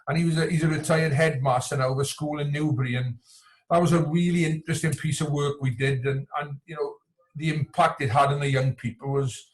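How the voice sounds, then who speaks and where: A man's voice: fairly high pitch, steady tone, a little fast, poor audio. A man from Wales speaking as a coach in a conversational interview, often circling back and repeating words with plenty of "you know". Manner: hesitant, repetitive, frequent "you know". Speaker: coach and entrepreneur, podcast guest.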